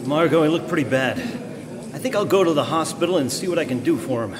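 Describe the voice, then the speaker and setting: An actor playing a composed, concerned gentleman sleuth in a live radio drama, earnest and measured. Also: a little high, animated, crowd noise, natural voice. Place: church hall stage. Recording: echoey hall, background noise.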